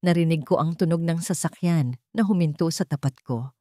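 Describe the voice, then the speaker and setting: Low-voiced Filipino woman telling a dramatic story. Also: normal speed, warm tone, no room sound, studio quality. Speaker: story narrator. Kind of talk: dramatic scripted first-person storytelling.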